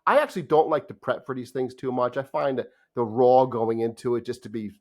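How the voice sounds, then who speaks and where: Host: fifties Jersey man, mid-pitched, easygoing, laughs a lot, restarts sentences. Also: a little fast. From New Jersey, US. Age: 50s. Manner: chatty, laughing, trailing restarts. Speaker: podcast host. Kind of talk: casual podcast chat.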